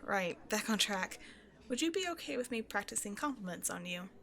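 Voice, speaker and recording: A woman's voice: mid-range voice, lively intonation, brisk, creaky, a bit bright. A woman playing a shy, self-doubting young woman who rambles hesitantly in an intimate one-sided roleplay conversation with a stranger. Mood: shy, flustered. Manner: hesitant, apologetic, nervously overthinking, giggly.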